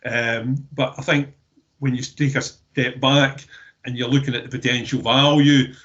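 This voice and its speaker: Halting Scottish man on a call. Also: medium pitch, flat delivery, fairly bright tone. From Scotland. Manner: halting, many repeated words and restarts. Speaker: recycling company representative. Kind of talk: webinar discussion. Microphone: video call. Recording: dull lo-fi call audio.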